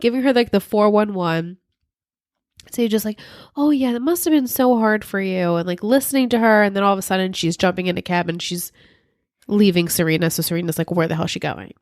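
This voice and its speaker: A woman's voice: medium pitch, brisk, no room sound, clean studio sound. A woman co-hosting a podcast, chatting casually while recapping a TV show, her voice worn and congested from a sinus infection, acting out characters' lines as she goes. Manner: animated, acts out characters' lines in quotes. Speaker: podcast co-host.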